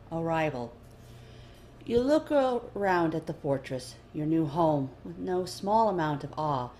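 A woman's voice: deep voice, darkish tone, slight background noise. A woman reading fiction aloud as scripted audiobook narration. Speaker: fan fiction reader.

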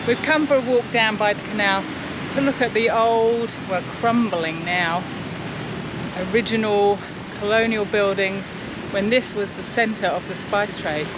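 A woman's voice: medium pitch, measured pace. A woman in her forties giving casual travel commentary outdoors as a vlogger. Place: outdoors on streets and at marina. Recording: noisy outdoor recording with street and vehicle sounds.

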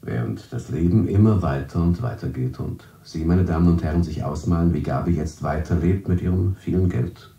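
An actor playing a suave, unctuous radio announcer, narrating a 1970s radio play straight to the audience. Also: male voice, low-pitched, moderate pace, vocal fry, few pauses, dark tone. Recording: roomy old radio recording, distant. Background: music.

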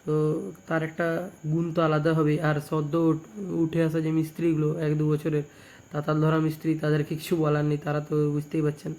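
A young man with a West Bengal Bengali accent, casually presenting repair work in a halting, repetitive way, phrase by phrase. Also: on the high side, monotone, a little mellow. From West Bengal, India. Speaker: speaker repair technician and video presenter. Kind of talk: casual product showcase narration.

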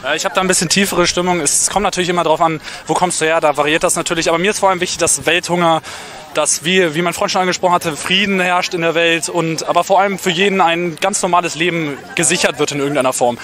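A man's voice: fairly high pitch, steady tone, fast, a bit bright. A man of twenty from northern Germany giving an earnest, wordy answer in an outdoor interview. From Hamburg, Germany. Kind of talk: casual street interview answer. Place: open grassy park, outdoors.

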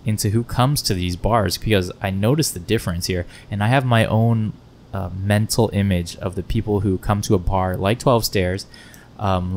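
Low-voiced man hosting a podcast. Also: a little mellow. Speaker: podcast host. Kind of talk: casual podcast interviewing. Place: wood-panelled bar lounge, indoors. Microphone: close podcast microphone on stand. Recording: low rumble and faint room noise.

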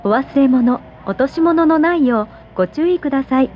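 A woman giving scripted subway announcements in a polite, measured, carefully enunciated way, over a train PA. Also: high-pitched, lively intonation, highs cut off. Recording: train PA with vehicle noise.

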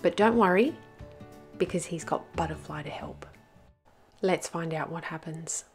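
A woman's voice: low-pitched, a little slow, soft background music. A woman storyteller presenting a picture book read-aloud to young children, addressing them directly.

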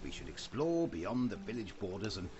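A man's voice: lowish voice, big pitch swings, normal speed, dull audio, noisy background. A man playing an earnest village advisor, delivering scripted tutorial lines for a fantasy game in a storybook manner. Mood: earnest, instructive. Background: loud game music.

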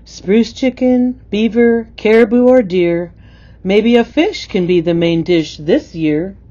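A woman's voice: medium pitch, animated, takes it slowly, mellow, muffled recording. A woman reading a rhyming poem aloud. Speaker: poem reader.

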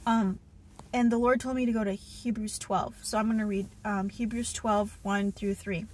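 A woman's voice: mid-range voice, steady tone, moderate pace. A woman in her thirties earnestly recounting a spiritual dream, with many fillers and restarts, filmed on a phone at arm's length in a car. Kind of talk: casual testimony and Bible reading. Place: inside a parked car. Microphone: phone held at arm's length.

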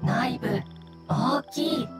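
Slow, halting little mushroom creature. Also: high voice, music in the background. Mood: halting, earnest. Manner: halting broken speech in single words. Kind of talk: video game character acting.

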